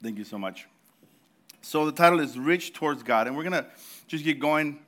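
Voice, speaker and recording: A man's voice: fairly high pitch, expressive, moderate pace, fairly bright tone. A man in his thirties preaching a sermon in church, telling a story with laughs and restarts, his throat slightly sore. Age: 30s. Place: church service.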